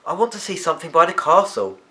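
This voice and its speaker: Man acting an impulsive schoolboy hero, raised pitch, urgent, defensive, animated storybook delivery. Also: quick pace, full character voice. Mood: insistent. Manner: urgent, insistent.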